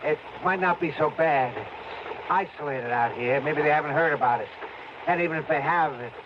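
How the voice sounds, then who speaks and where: An actor playing a ruthless fugitive in an old radio drama, curt and barking orders. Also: male voice, fairly high pitch, moderate pace, lightly acted. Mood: urgent, scheming. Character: ruthless, bossy male fugitive on the run. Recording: muffled, very noisy old radio recording.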